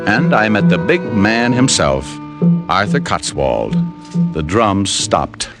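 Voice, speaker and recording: Low, animated wisecracking detective. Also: male voice, slow, exaggerated voice. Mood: narrating coolly. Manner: wisecracking, clipped. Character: wry, unflappable male private detective narrating his case. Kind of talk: old-time radio acting with narration. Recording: old radio drama, music behind.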